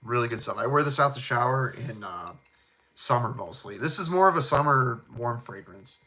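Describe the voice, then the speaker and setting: A middle-aged man with a heavy Chicago South Side accent and a bright, forward tone. He rambles in a laid-back, chummy way, dropping fillers and casual slang as he talks about colognes with his viewers. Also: mid-range voice, animated, normal speed. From Chicago, USA. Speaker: fragrance channel host. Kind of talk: casual live chat.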